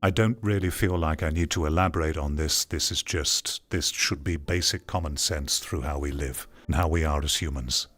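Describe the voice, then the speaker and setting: A man's voice: very deep voice, moderate pace, hardly any pauses, a bit bright, soft background music. A man giving opinionated commentary on an article, reading parts aloud and reacting in a blunt, dismissive, argumentative way. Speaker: video commentator.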